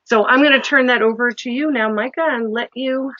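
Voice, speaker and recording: A woman's voice: a little high, steady tone, brisk, no room sound, dull audio. A woman travel agent giving an informal, rambling presentation to a group as its organizer. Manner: chatty, rambling with restarts.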